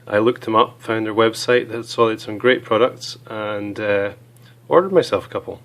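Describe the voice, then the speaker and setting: Hoarse guy with a cold, lowish voice, laid-back gear review, lots of ums. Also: moderate pace. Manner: relaxed, chatty, many uh and um fillers. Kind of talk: casual product review.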